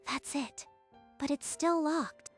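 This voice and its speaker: A high, youthful female voice from an actress in a romantic manga dub, gentle and supportive. She speaks with earnest concern over clearly audible music. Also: slow pace. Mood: urgent. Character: Akane, gentle supportive young woman, bakery companion. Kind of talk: dramatic manga dub dialogue. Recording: music behind the voice.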